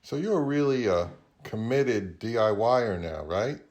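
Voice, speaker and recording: A man's voice: on the low side, animated, a little slow, darkish tone. A man hosting a casual interview, asking questions in everyday conversational speech. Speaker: host, interviewer.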